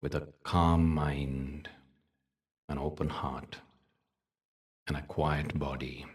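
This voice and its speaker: A man leading a guided meditation in a soothing, measured way, leaving long pauses between short phrases. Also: rumbling low, flat delivery, distant mic. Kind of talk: guided meditation with spoken teaching.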